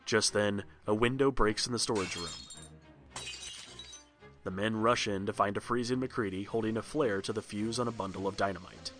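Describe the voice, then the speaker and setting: Low-voiced man narrating a read-along story. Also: steady tone, normal speed, fairly bright tone, faint music behind.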